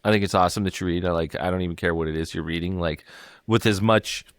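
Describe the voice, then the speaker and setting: Young male podcast co-host, low pitch, dry recording, loose and rambling. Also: steady tone, fast, no room sound. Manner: rambling, swears casually, many fillers. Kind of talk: casual chat.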